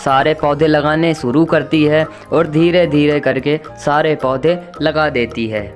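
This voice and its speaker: A man's voice: on the high side, fairly even intonation, a little slow, soft background music. A man narrating a scripted children's story.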